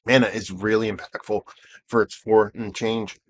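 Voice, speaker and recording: A man in his thirties with a hoarse, worn-out voice hosts a casual beer review. His voice sits somewhat low, and he rambles along with plenty of fillers. He pokes fun at himself as he goes. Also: little pitch movement, normal speed, no echo. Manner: rambling and self-deprecating, many fillers. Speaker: beer reviewer and show host. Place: outdoors.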